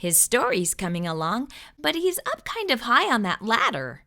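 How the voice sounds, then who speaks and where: Lady doing kids storytime, high bright voice, super expressive, asks the kids questions. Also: moderate pace. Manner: expressive, addresses young viewers with questions. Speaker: children's storytime host and reader. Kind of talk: animated picture-book read-aloud for children.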